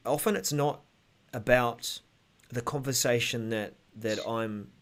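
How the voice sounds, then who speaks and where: A man's voice: medium pitch, unhurried, fairly bright tone. A man co-hosting a casual tech show, explaining things tentatively as he thinks aloud.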